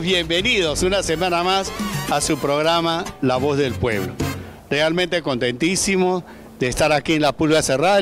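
An older man hosting a community TV interview, effusive and welcoming, speaking into a handheld microphone in an indoor studio. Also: on the high side. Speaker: TV show host. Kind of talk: interviewing a guest on a community TV show. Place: indoor studio set in a market hall.

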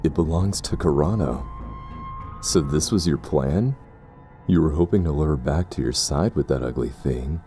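A man playing a sinister, condescending zealot in an audio roleplay drama, speaking in a formal, measured way. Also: rumbling low, warm tone, soft background music. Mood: mocking, condescending. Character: sinister cursed brother, pompous, coldly condescending zealot.

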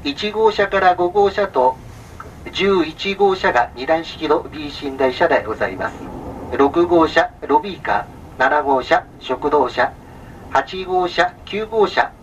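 Man, train conductor, formal polite announcement, distant onboard loudspeaker, vehicle noise behind. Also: fairly high pitch, highs cut off. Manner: formal, polite, measured listing with small filler sounds. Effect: public address speaker. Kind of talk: onboard public address announcement. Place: inside a moving sleeper train, over its speakers.

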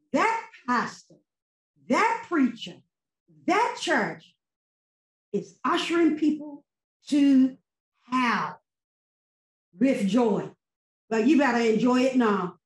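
A middle-aged woman giving a fervent, preacherly response to a sermon, full of emphatic rhetorical questions, on a video call. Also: high-pitched. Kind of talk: impassioned post-sermon testimony. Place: home room with virtual background. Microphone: laptop webcam on video call. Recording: echoey video call audio.

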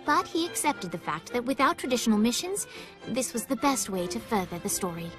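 A storybook narrator for a video game. Her delivery is measured, formal and slyly tongue-in-cheek, in a raised pitch, with music clearly playing behind. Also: big pitch swings. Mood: wry, storytelling. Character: prim, wry female storybook narrator.

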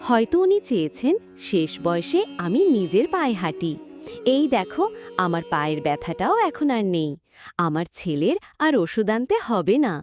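A woman's voice: lowish voice, sing-song, moderate pace, darkish tone, no room sound, faint music behind. A woman voicing a frail, pious old village grandmother with aching legs in a cartoon. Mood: amazed, grateful.

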